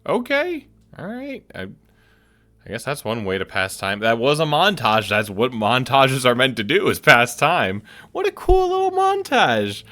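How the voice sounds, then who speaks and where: A man's voice: mid-range voice, huge pitch swings, measured pace, sharp tone. A man giving casual, chatty game commentary as host, playfully thinking aloud as he reacts. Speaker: gaming video host.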